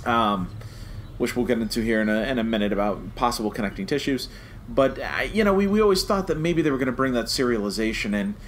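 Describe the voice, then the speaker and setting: A man's voice: mid-range voice, lively intonation, quick pace, slight background noise. A man chatting casually as a podcast co-host, enthusiastic and jokey. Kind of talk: casual podcast review chat.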